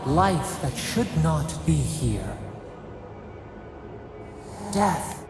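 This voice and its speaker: An actor voicing a cryptic, solemn godlike being in a video game, with a gravelly voice and heavy echo. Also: mid-range voice, big pitch swings, drawn-out words, exaggerated voice. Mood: solemn, ominous. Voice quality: gravelly, echoing. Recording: echoing voice under loud music.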